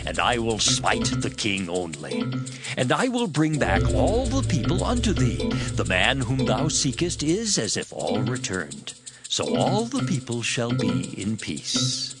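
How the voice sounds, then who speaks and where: Scheming adviser, dramatic swooping delivery. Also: male voice, mid-range voice, huge pitch swings. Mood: confident, ruthless. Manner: deliberate, declamatory. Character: Ahithophel, scheming male adviser, confident and ruthless. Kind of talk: dramatized Bible reading, theatrical. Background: prominent background music.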